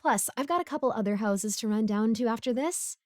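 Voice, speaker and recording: A woman's voice: a little high, lively intonation, a little fast, dry recording, studio quality, natural voice. A woman playing a broke, bumbling, chatty supervillain neighbor in a casual audio roleplay, rambling awkwardly as she pleads and justifies herself. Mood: sheepish, chatty.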